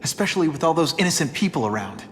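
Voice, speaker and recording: An actor playing a wisecracking, earnest young superhero in a video game, talking in a casual, quippy way. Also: male voice, a little high, sing-song, racing, a little hiss or hum. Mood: earnest, concerned. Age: young adult. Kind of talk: video game cutscene acting. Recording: music behind the voice.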